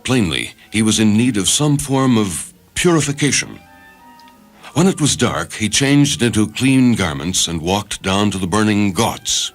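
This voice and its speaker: A man narrates a dramatic short story in a low voice. His scripted delivery is measured and literary. Music runs softly behind him. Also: sing-song.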